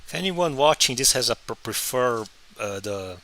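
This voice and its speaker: A man whose first language is Portuguese, chatting casually on a live stream while he draws, halting and restarting often. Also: medium pitch, animated, a bit bright. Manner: halting, many restarts and fillers. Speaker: comic artist streaming his drawing.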